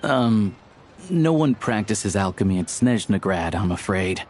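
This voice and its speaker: Low-voiced, easygoing local guide. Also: male voice, animated, unhurried, darkish tone, slight background noise. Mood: easygoing, helpful. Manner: casual, helpful, explanatory. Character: friendly, easygoing adult male local guide. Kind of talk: video game character dialogue. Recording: music bed behind the voice.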